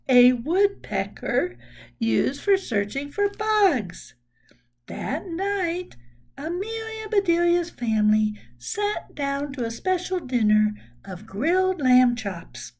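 Casting: woman in her 60s, children's storyteller, high swooping voice, emphatic delivery. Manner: expressive, deliberate, emphatic storytelling. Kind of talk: reading a children's book aloud. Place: small room at home.

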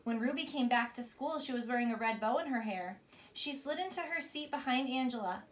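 A woman in her thirties reading a storybook aloud to a child in an expressive, teacherly way. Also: fairly high pitch, fairly quick. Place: small bedroom, on a bed. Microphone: camera a few feet away.

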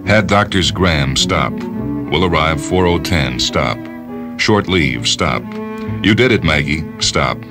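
A man as a very deep telegram voice. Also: animated, heavily acted. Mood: flat, official. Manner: clipped, formal, deliberate. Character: terse official voice reading out a military telegram. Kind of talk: dramatized telegram reading. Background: loud guitar music.

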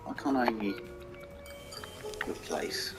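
A man with a hoarse, croaky voice, mumbling casual commentary over a strategy game and talking to its vehicles. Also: very slow. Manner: mumbling, talks to the game's vehicles. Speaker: gaming channel host. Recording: game music behind voice.

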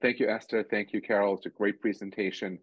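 A man with a husky, low voice chairs an online panel, politely moving speakers along. His audio comes through a video call and sounds dull and dry, with no room around it. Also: steady tone, fast talker, dry recording. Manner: polite, brief, gently hurrying speakers along. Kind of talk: moderating an online conference panel.

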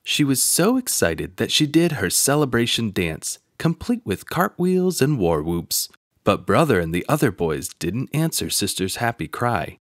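A man narrating a children's picture book aloud in an expressive storytelling manner. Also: medium pitch, unhurried, dry recording, studio quality.

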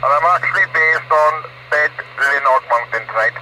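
A man's voice: fairly high pitch, normal speed. A man chatting casually as a CB radio operator, his voice coming through a radio transmission. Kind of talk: casual chat. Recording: noisy CB radio link.

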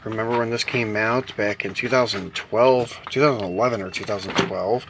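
A man's voice: fairly low pitch, fairly even intonation, normal speed. A middle-aged American man giving casual, informal unboxing commentary with rambling asides. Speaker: toy car collector hosting an unboxing. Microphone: handheld camera or phone mic. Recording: home camera with clear background noise.

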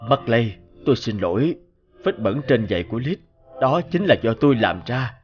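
Caring army officer male actor, animated drama: subdued, sorrowful, regretful, music underneath. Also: mid-range voice, moderate pace, slightly rough, muffled recording.

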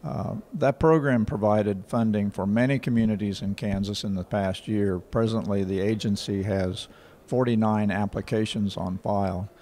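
An older man, answering interview questions in a measured, factual way with frequent pauses. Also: lowish voice, fairly even intonation, mellow. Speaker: rural water association director. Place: indoor office in front of a painting. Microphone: handheld station mic.

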